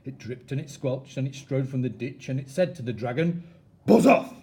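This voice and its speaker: A man reading a rhyming children's story aloud like a teacher, expressive and measured with dramatic pauses. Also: mid-range voice, breathy edge.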